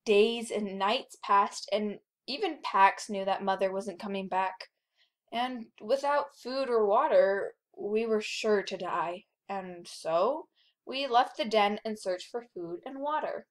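A woman voicing a grown female wolf narrating her own tale in a measured way for a cartoon audition. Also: medium pitch, natural voice. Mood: somber, measured. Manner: measured storytelling.

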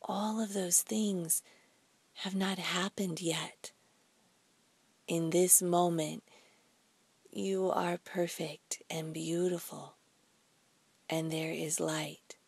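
A woman leading a guided meditation in a soft, breathy, soothing voice, speaking deliberately in short phrases with pauses. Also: fairly low pitch, fairly even intonation, no echo. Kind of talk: slow guided meditation narration.

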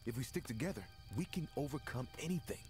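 A sincere, strong young cartoon warrior played by a man. His pitch swings widely over faint music. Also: animated, quick pace, a little mellow, close to an everyday voice. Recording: cartoon playback with music behind.

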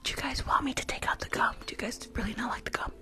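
A woman's voice: fairly high pitch, racing. Whispering young New York woman, curt and sassy. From Bronx, New York. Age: young adult. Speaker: ASMR performer role-playing a rude school nurse. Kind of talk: whispered ASMR roleplay. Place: small room at home. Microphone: close desk microphone with fluffy cover.

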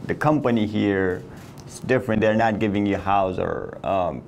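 Low gravelly man. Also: normal speed, a little mellow, a little hiss or hum. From Afghanistan. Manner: earnest, with non-native phrasing and restarts. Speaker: interviewee. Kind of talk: sit-down interview. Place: indoor office room.

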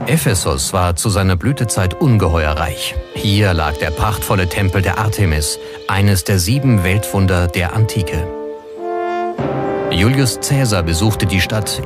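A man reads scripted documentary narration in a very low voice. His delivery is measured and serious. Music plays clearly behind him. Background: background music.

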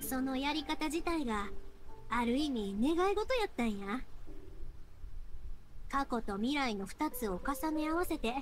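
High, lively Kansai anime girl. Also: measured pace, long pauses, music in the background. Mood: reflective. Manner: heartfelt, folksy, expressive. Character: bright, earnest young woman fireworks maker. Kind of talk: Japanese anime game voice acting.

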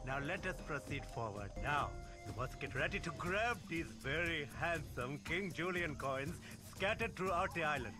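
A man plays a bossy cartoon lemur monarch. The voice sits high and swings theatrically from line to line, full of grand self-regard, with game music underneath. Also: animated, moderate pace, few pauses, lightly acted. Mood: bossy, self-important. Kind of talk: animated video game acting.

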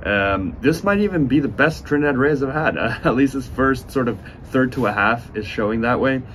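A man in his twenties giving a casual, unhurried review to camera, speaking with enthusiastic praise. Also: mid-range voice, a bit bright. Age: 20s. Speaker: cigar reviewer, host. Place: outdoors on a sunny porch by windows. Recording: outdoor ambient noise.

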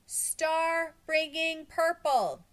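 A woman voicing an urgent crowd of schoolchildren and teachers, shouting in a drawn-out chant. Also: extremely high pitch, voice pushed up, heavily acted.

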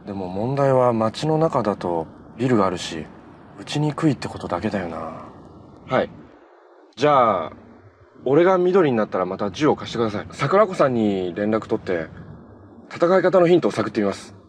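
An actor playing a cheerful, earnest, easygoing young hero in a TV drama, talking in a casual, boyish way. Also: male voice, fairly low pitch, very animated, normal speed, a little hiss or hum. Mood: earnest, thoughtful. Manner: casual, friendly, boyish phrasing. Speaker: actor in a TV superhero series. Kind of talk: naturalistic TV drama acting.

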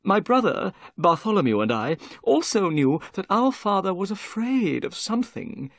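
A man voicing a nervous, excitable little bald man, fretful and eager, dramatically telling his tale in an audiobook story. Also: normal speed, gravelly, heavily acted. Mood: earnest recounting. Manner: exclamatory, high-strung, dramatic storytelling.

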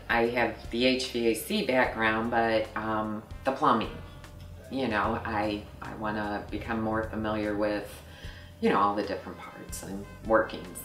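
A woman's voice: very deep voice, a bit bright. A middle-aged woman from St. Louis, a customer service rep, talking casually in an office interview with many fillers and small laughs. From St. Louis, Missouri. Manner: halting, many fillers, laughs between thoughts. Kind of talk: casual company profile interview. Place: office room, indoors. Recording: roomy sound from a distant mic, faint music.

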